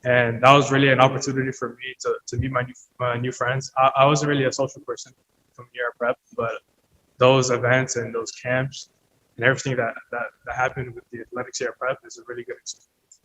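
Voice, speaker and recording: A man's voice: medium pitch, flat delivery, fairly quick, background noise. A young man in his late teens, a student team captain, talks casually to visitors, hesitating and restarting his sentences.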